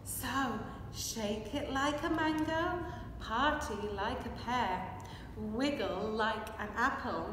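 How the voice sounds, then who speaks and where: Woman, high-pitched voice, children's storyteller, expressive rhythmic read-aloud, very slow pace, distant microphone in a reverberant hall. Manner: expressive, measured rhythmic delivery while signing. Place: large hard-walled hall with brick and plaster. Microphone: camera a few metres away. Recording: echoey hall, distant microphone, faint noise.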